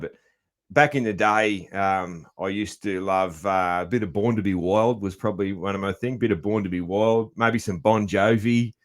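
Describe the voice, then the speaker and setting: A man hosting a podcast interview, laid-back and loud-voiced, laughing easily and calling people "man". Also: lowish voice. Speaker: podcast host. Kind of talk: casual interview chat.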